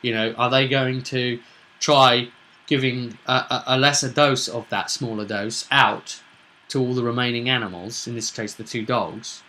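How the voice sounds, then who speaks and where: A man casually recapping a tabletop roleplay session as game master, telling it in a halting, emphatic way with dramatic pauses. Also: medium pitch, fairly even intonation, sharp tone.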